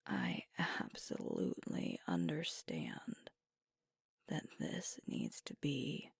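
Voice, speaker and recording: Casting: female actor for protective werewolf girlfriend, ASMR audio. Low, hushed, breathy delivery; soothing, reassuring, gently firm. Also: dry recording, clean studio sound, exaggerated voice. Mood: gentle concern. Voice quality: breathy whisper. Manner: soothing, coaxing, reassuring, deliberate pauses. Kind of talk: whispered ASMR girlfriend roleplay.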